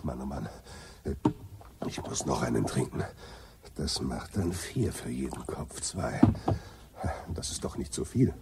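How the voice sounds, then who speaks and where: A man's voice: extremely low pitch, expressive, unhurried, pauses often, very noisy, full character voice. A man playing an irritable, hard-drinking, restless space adventurer in a German radio play, grumbling and blustering. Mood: tipsy grumbling.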